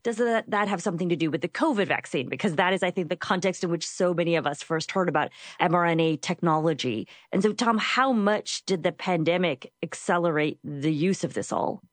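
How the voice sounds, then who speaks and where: A woman hosting an interview, asking curious, quick questions. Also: on the low side, no echo. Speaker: host.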